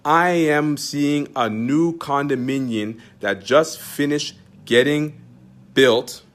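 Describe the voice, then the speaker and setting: Canadian man, teacher type, emphatic monologue, keeps asking "you understand?", voice sits a bit high. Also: slow pace, fairly bright tone. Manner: emphatic, repetitive, rhetorical questions. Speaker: Islamic teacher. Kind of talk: direct-address monologue to followers.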